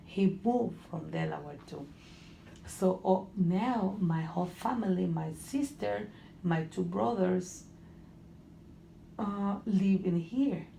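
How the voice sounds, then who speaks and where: A middle-aged woman from Honduras with a Spanish accent, speaking English as a second language in casual conversation, halting and often searching for words. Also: lowish voice, vocal fry, dark tone. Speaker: interviewee. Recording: echoey room with faint noise.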